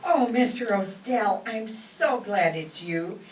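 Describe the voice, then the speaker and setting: Woman as long-suffering radio wife, animated voice. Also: medium pitch, slow pace. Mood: exasperated. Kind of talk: old-time radio comedy acting. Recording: echoey, distant mic, noisy room.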